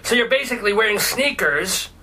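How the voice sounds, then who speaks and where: A man's voice: sits high, lively intonation, a little fast, bright, forceful tone, a little hiss or hum, low-quality recording. A man hosting a talk radio interview, blunt and incredulous, firing wisecracks and questions at his guest. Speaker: radio host.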